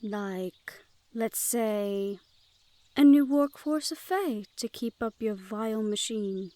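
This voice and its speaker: Casting: woman to play haughty female Fae sentry for fantasy audio roleplay, higher-pitched voice, playful, sardonic, guarded. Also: expressive, takes it slowly, warm tone. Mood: wry, resentful. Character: teasing, wary Fae woman guarding her forest clan's border.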